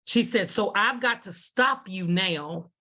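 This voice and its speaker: Deep-voiced woman telling a lively story. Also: slow. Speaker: former kindergarten teacher and speaker. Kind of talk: conference storytelling on a video call.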